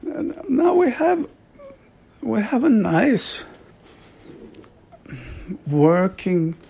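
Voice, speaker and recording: Slow, husky man with a gravelly voice. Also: sits high, sing-song. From Sweden. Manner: slow, halting, with frequent soft laughs. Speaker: author and devotee. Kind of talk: reflective monologue.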